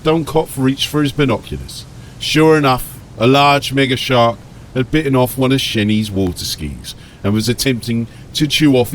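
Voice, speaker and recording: Male audiobook narrator with faint rumble behind. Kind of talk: scripted dramatic narration.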